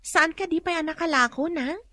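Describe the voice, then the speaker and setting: A woman's voice: very high voice, a little fast, sharp tone, no room sound. A woman acting as a concerned, dutiful young daughter in an Ilocano radio drama, earnest and questioning. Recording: clear background noise, engine-like ambience.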